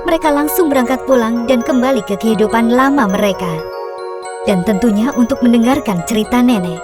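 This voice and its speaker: A woman narrating a scripted children's fairy tale in a measured, expressive storytelling manner. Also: fairly high pitch. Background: music.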